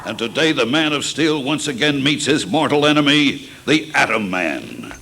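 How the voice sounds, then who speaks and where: A man playing an excited superhero serial announcer, declamatory and dramatic in 1940s radio style. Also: mid-range voice, normal speed, vocal fry, full character voice. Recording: noisy vintage radio recording with clicks.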